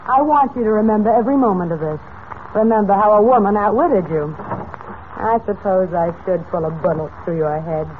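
A woman's voice: mid-range voice, normal speed, natural voice. Tinny old radio sound, faint noise, actress playing cool young female crook, commanding, mocking, 1940s serial style. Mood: mocking triumph.

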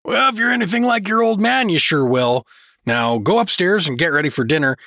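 A man's voice: high voice, lively intonation, a little fast, pushed and bright, dry recording, clean studio sound, full character voice. A man playing a well-meaning but clueless dad turned adventurer in a fantasy audio drama, hesitant and apologetic. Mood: friendly.

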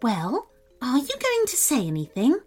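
A calm, wise female unicorn from a storybook, played by a woman reading aloud. Her voice is fairly high and swings widely in pitch as she patiently questions and gently challenges. Faint music plays underneath. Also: normal speed, husky, heavily acted. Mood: friendly.

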